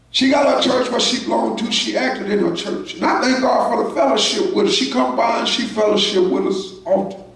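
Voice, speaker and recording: High-voiced shouting preacher in an echoey church. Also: male voice, far from the mic. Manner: preaching in shouted bursts with call-outs to the congregation. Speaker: pastor. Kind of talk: sermon. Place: church sanctuary.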